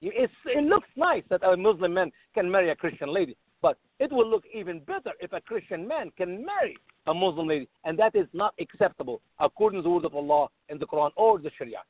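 A man's voice: high voice, very animated, fast, few pauses, no echo. A man calling into a talk radio debate as a guest, insistently pressing to finish his point. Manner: insistent, keeps cutting in to speak. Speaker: guest, radio show host. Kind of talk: phone-in panel debate. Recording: thin phone line, dry.

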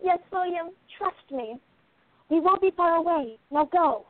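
A woman playing a composed, shrewd female sleuth in a radio play, with deliberate and rather formal phrasing. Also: very high-pitched, lively intonation, no room sound. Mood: gently reassuring. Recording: thin, phone-like band-limited audio.

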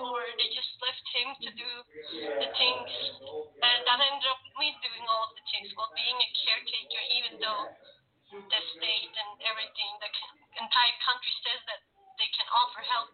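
A woman in her twenties from Sweden, sharing her family story as a guest in an earnest, slightly halting way over a video call. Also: on the high side, little pitch movement, a crowd in the background. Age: 20s. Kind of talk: personal interview. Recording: tinny phone-like video call.

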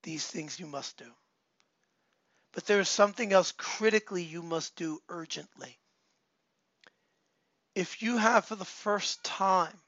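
An earnest man pastor, speaking a little high, leans on repeated phrases and frequent ums. His voice is still rough from a recent cold. The recording is dry and somewhat muffled. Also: a bit bright, dry recording. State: recovering from a cold, voice not fully back. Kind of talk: online church service, announcements and appeals.

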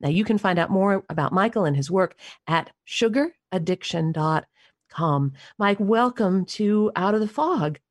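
A woman's voice: medium pitch, expressive, measured pace, dry recording. A middle-aged or older woman hosting a radio interview with a scripted introduction. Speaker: radio show host.

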